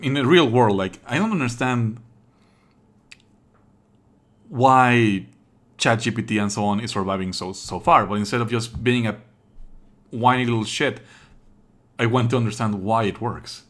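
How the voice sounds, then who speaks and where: A man live-streaming casual commentary on a game playthrough, sardonic, exasperated and quick to swear. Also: medium pitch, fairly bright tone.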